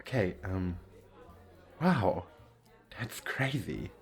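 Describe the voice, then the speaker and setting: Man acting a shy, softly flirty crossdressing guy. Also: deep voice, big pitch swings, very slow, faint music behind, full character voice. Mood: flustered. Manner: hesitant, stammering, giggly. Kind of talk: ASMR roleplay.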